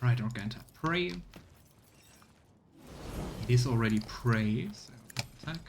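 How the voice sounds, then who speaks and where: Male streamer, mid pitch, casual musing play-by-play. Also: takes it slowly, background noise. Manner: relaxed, musing, reads text evenly. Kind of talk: casual gaming commentary and reading game text aloud.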